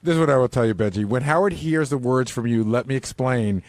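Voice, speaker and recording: A man's voice: medium pitch, fast talker, darkish tone, no echo. A man in a casual studio discussion, pressing his point insistently.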